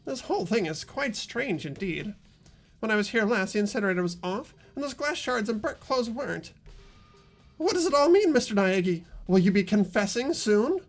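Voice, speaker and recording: A performer voicing a pompous, theatrical male nerd from a game, smugly accusing in grandiose, drawn-out phrases. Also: mid-range voice, very animated, faint music behind. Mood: smugly dramatic. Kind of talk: game character voice acting in a playthrough.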